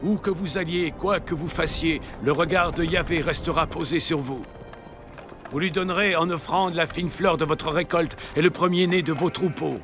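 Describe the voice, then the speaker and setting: An actor playing a solemn, devout old patriarch giving commands in a biblical cartoon dub. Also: male voice, on the high side, moderate pace, over music, close to an everyday voice. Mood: solemn blessing. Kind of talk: dubbed biblical cartoon acting.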